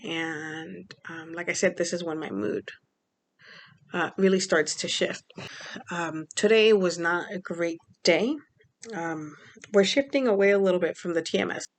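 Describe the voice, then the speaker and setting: A woman's voice: fairly low pitch, a little slow. A young woman from Mexico talking casually in a personal vlog, rambling with many fillers. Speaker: vlogger.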